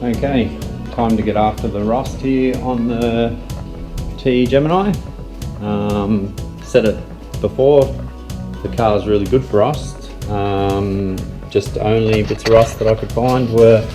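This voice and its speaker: Casual bloke, lowish voice, congested and nasal, easygoing with plenty of ums, music running underneath. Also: male voice. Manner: laid-back, rambling with many ums and uhs. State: nasal from a flu. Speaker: car restoration vlogger. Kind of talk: casual workshop vlog talk.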